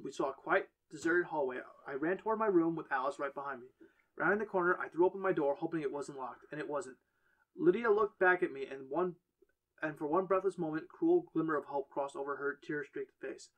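A man's voice: on the high side, fast, muffled recording. A man reading a first-person horror story aloud on a podcast, stumbling now and then and correcting himself. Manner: reads on with stumbles and self-corrections.

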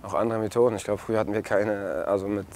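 Low-voiced young man, relaxed interview. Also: fast talker, a little hiss or hum. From Germany. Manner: relaxed, many fillers and restarts. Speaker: interviewee, footballer. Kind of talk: casual interview answers. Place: outdoors at a stadium and a café terrace.

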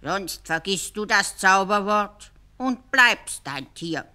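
A woman voicing a learned old male teacher in a fairy tale, reading out solemnly and deliberately with a Swiss German accent. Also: medium pitch, expressive, pushed and bright. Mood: solemn, portentous.

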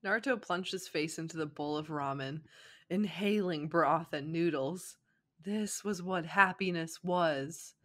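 A woman's voice: deep voice, unhurried. A young adult woman casually narrating fan fiction with banter, sounding amused and giggly.

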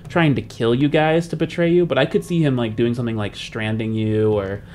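A young man casually discussing a game story, informal and halting as he rephrases his thoughts. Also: mid-range voice, fairly even intonation, darkish tone. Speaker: podcast panelist posing questions. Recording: music playing behind the voice. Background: background music.

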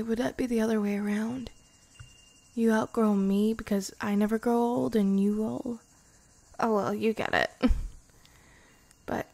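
A woman voicing a loving young vampire girlfriend in an intimate, improvised ASMR roleplay, tender and hushed, often slipping into whispers, close on the microphone. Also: medium pitch, vocal fry. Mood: tender, wistful. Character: loving young vampire girlfriend, playful yet afraid of loss.

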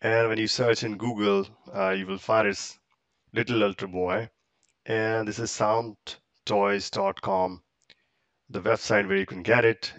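A man explaining software step by step in casual, halting, English. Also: lowish voice, no echo, lo-fi sound. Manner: halting, step-by-step, non-native English phrasing. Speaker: tutorial presenter. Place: close, dry indoor voice-over for a screen recording.

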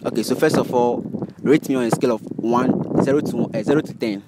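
A man's voice: medium pitch, fairly quick. A young man hosting an upbeat street interview on a university campus, holding a handheld mic and repeating answers back. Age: young adult. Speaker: host. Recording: noisy outdoor street recording.

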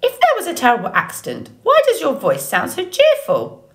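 A woman voicing an old female goose in an audiobook story, squawking, bossy and brisk in her manner. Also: sits high, huge pitch swings, pitched above the performer's own voice. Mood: suspicious squawking. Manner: indignant, bossy, matter-of-fact.

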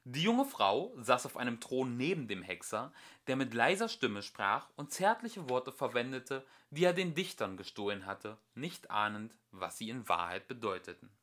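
A young man narrates a storybook tale in the manner of a homemade audiobook. His voice sits at an average pitch with a bright, forward edge. He moves animatedly up and down as he stresses the phrases. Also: very animated, moderate pace. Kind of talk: scripted fairy tale narration, read aloud.

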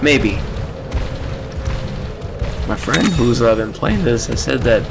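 Creaky-voiced man doing game commentary. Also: medium pitch, lively intonation, slow. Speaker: let's play host. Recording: game music behind commentary.

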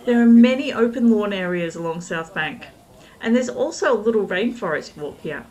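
A middle-aged woman narrating a travel vlog voice-over, calm and informative, giving tips and recommendations. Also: mid-range voice, moderate pace, low-quality recording. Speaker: travel vlogger. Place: outdoors at a riverside city park.

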